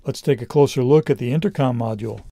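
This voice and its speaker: A middle-aged man reading a scripted product review in a measured, explanatory way. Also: mid-range voice, gravelly, mellow, dry recording.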